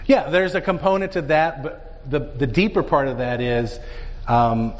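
A man's voice: fairly high pitch, measured pace. Man lecturing in a reverberant hall. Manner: animated, rhetorical questions, frequent "right?". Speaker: Bible teacher. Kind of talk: lecture with audience questions. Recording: dull sound with long reverb in a hall.

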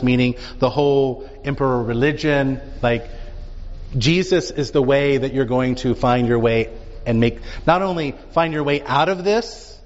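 A man teaching a Bible class, talking in an animated way and dotting his points with rhetorical questions. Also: medium pitch. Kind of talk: lecture with audience questions. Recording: dull sound with long reverb in a hall.

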